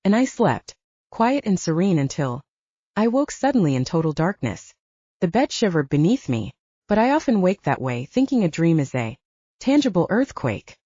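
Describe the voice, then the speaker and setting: A woman's voice: on the low side, very animated, normal speed, warm tone, dry recording, lo-fi sound. A woman reading scripted first-person fiction as an audiobook narrator.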